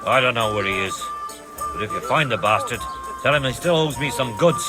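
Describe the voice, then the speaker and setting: A man acts out a gruff village innkeeper for a video game. His medium-pitched voice is curt and guarded, like someone with something to hide. Loud music plays behind it. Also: lively intonation, fairly quick, lightly acted, low-quality recording. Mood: curt, defensive. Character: wary, gruff village innkeeper with shady dealings. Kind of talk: video game cutscene acting.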